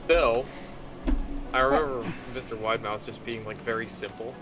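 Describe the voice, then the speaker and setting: A man chatting casually as a guest commentator, halting and agreeable. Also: medium pitch, over music. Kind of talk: casual chat. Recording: noisy mic with steady background hum.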